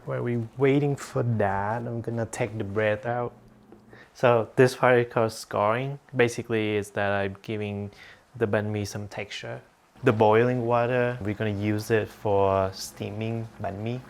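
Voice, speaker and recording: A man from Vietnam, calmly walking through a cooking demonstration in a home kitchen and sharing personal memories. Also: fairly low pitch, creaky, a little hiss or hum. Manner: calm, unhurried, gently reflective. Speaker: home cook.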